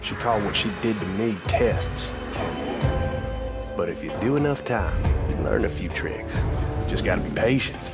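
A man's voice: lowish voice, lively intonation, moderate pace, long pauses. An actor playing a bitter, hardened ex-convict narrating his story in a video game, world-weary, menacing and coarse. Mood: brooding, sly. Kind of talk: narrated monologue. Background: loud music.

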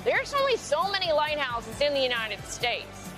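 A middle-aged woman answers interview questions outdoors by a lake, her voice high and eager. She talks candidly, with music clearly playing beneath her. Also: big pitch swings, normal speed. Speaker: lighthouse owner being interviewed.

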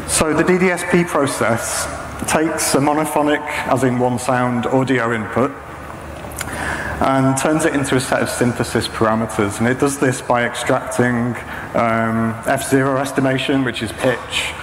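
A man's voice: medium pitch, unhurried. A man, around his thirties, giving an informal technical talk in a hesitant, explanatory manner. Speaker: presenter, saxophonist and machine learning practitioner. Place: indoor stage at a talk venue, before a projection screen. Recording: echoey hall, clearly noisy background.

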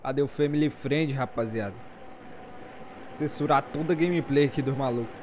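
A man's voice: medium pitch, normal speed, darkish tone, slight background noise. A Brazilian man in his twenties hosting a gaming video, commenting casually in slang and addressing viewers like buddies. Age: 20s. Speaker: gaming channel host.

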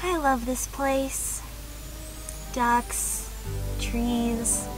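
A woman's voice: on the high side, steady tone, drawn-out words, over music, low-quality recording. A young woman playing a sweet, wistful, lovestruck date in a comedic game cutscene. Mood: bubbly, nervous. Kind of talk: live-action game cutscene acting.

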